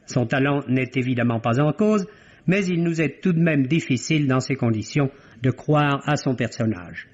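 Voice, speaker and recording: A man's voice: medium pitch, lively intonation, normal speed. A man delivering a prepared film review as a radio critic in an articulate, literary and wryly ironic way, in 1950s French radio style. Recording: dull, band-limited vintage radio recording.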